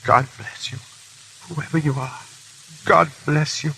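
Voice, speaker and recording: An actor playing a condemned French count, weary and dignified, speaking haltingly and hushed in a 1930s radio drama. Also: male voice, on the high side, steady tone, vocal fry. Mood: grateful. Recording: hissy 1930s radio broadcast.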